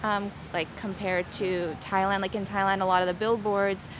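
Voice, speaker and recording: Young woman from the US, relaxed chatty interview, filler words, traffic behind. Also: mid-range voice, moderate pace. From United States. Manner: casual, uses many likes and ums. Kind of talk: casual outdoor interview. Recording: street noise with traffic.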